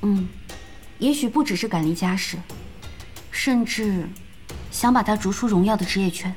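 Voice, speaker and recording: An actress playing a calm, perceptive young woman in an audio drama, speaking in a measured, thoughtful way. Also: medium pitch, lightly acted. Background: background music.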